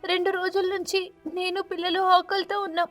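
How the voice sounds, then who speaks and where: Lady doing poor mama sparrow in a cartoon, high pleading humble voice. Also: flat delivery, moderate pace, a bit bright, faint music behind. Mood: tearful pleading.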